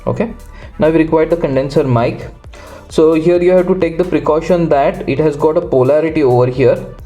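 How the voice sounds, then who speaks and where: A man presenting an unscripted, step-by-step electronics tutorial, halting and pausing in the middle of phrases. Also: on the high side, warm tone, soft background music.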